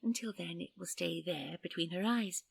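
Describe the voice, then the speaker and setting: A woman playing a gentle, easily flustered fairy princess in an audio roleplay, with polite, dreamy and quaintly formal speech. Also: lowish voice, normal speed, vocal fry, slightly rough, no room sound, heavily acted. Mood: dreamy, coy.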